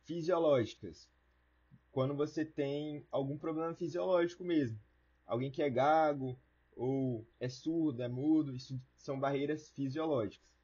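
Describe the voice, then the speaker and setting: A man's voice: on the high side, normal speed, dark tone, husky, highs cut off. A Brazilian man teaching an online lesson in a casual, colloquial way, explaining things with simple everyday wording. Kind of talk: informal lecture with everyday examples.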